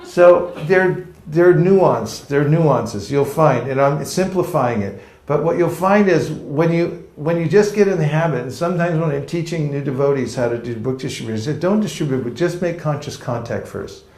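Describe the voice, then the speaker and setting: A man's voice: fairly high pitch, moderate pace, few pauses, darkish tone. Playful man teaching a seminar, echoey room. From California. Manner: playful, jokey, acts out street encounters. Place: seminar room with a live audience.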